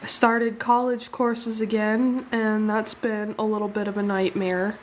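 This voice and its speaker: A woman's voice: mid-range voice, flat delivery, measured pace, slight background noise, low-quality recording. A young woman hosting a live art stream, chatting casually, thanking viewers and laughing easily, a little sniffly from a cold. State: slightly sniffly. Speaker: art livestream host. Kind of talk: casual live drawing chat.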